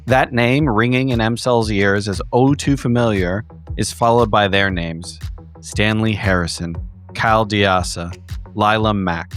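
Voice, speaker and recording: A man narrating a scripted recap of a horror game in a measured, ominous storytelling manner. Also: lowish voice. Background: background music.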